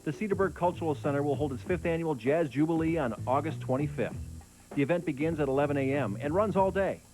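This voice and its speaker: A male announcer with a fairly high voice reads scripted community notices. Music plays clearly behind him. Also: quick pace, poor audio.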